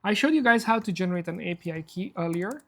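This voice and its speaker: A man's voice: high voice, lively intonation, fast talker. A man whose first language is Persian, hosting a live coding workshop and explaining steps in a casual, self-deprecating way. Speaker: workshop host.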